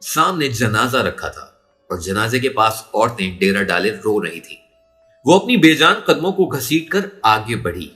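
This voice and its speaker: A man's voice: on the low side, big pitch swings, unhurried, pushed and bright, soft background music. A man narrating a story in a dramatic, emotive and suspenseful way. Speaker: audiobook narrator.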